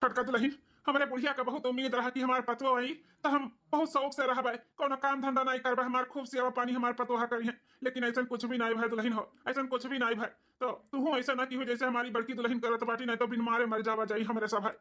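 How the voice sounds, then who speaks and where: A woman acts out a pleading old village father-in-law for a cartoon family comedy. The voice is fairly high and monotone, rushing along with worry in a rustic Awadhi accent. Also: natural voice. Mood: worried, pleading. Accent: Awadhi, eastern Uttar Pradesh.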